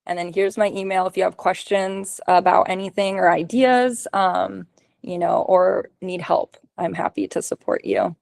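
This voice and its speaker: A woman giving a casual webinar presentation on a video call, running through tips as lists with many ums and likes, her voice going hoarse. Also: medium pitch, steady tone, normal speed, a little mellow, dry recording, studio quality. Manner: casual, lots of ums and likes, list-like delivery. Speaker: podcast production consultant.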